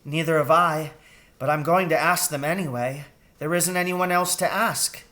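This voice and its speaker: A man voicing a gentle female mouse in a children's storybook, polite and worried, speaking softly and tentatively. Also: on the high side, normal speed, pitched above the performer's own voice. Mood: polite, resolved. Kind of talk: children's storybook read aloud.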